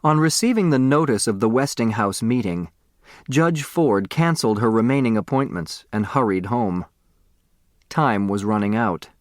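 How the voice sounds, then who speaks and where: A man's voice: medium pitch, lively intonation, normal speed, no echo. Male audiobook narrator, dry studio sound. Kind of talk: scripted storytelling narration.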